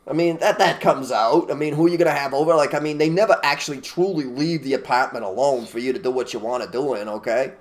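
A man's voice: mid-range voice, fast talker, hardly any pauses. A man co-hosting a casual talk show, ranting emphatically and mimicking people in put-on voices. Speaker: podcast co-host. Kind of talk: opinionated casual chat.

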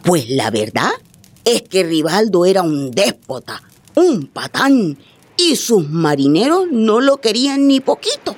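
Radio drama: elderly grandmother storyteller, husky, slow, animated, tender yet firm. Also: mid-range voice. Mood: suspenseful storytelling. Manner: unhurried, dramatic oral storytelling.

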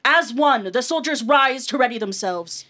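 An earnest female war correspondent, played by a woman in a radio drama. She has a high voice and reports in grand, florid phrases with dramatic weight. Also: animated, moderate pace, bright, forceful tone. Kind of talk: radio play reporting, scripted.

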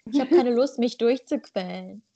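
A woman's voice: fairly high pitch, normal speed, warm tone, lo-fi sound. A woman born in Germany co-hosting a podcast, talking bluntly and playfully in casual chat. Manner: blunt, playful, spins jokes out. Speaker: podcast co-host.

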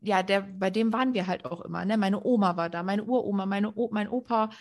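A woman's voice: medium pitch, a little fast. A woman co-hosting a podcast, telling a story in a rambling, chatty way and often adding tag questions. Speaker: podcast co-host. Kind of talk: casual storytelling chat.

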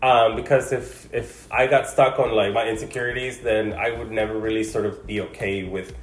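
A man's voice: on the low side, fairly even intonation, normal speed. Reflective man, echoey podcast sound. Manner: reflective, hesitant, many fillers. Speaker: podcast co-host. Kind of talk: casual chat.

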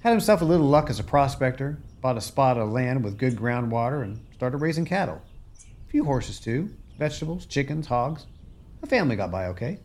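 A man's voice: mid-range voice, very animated, brisk, darkish tone, natural voice. A man playing a weary, plainspoken American farmer who has lost his family land, in a folksy radio play style. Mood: nostalgic pride.

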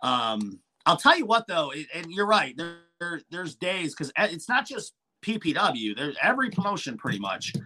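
A man's voice: on the high side, big pitch swings, moderate pace, few pauses, sharp tone, faint music behind, rough recording. A man, a wrestling manager and commentator, chatting casually in a podcast interview with frequent restarts and fillers.

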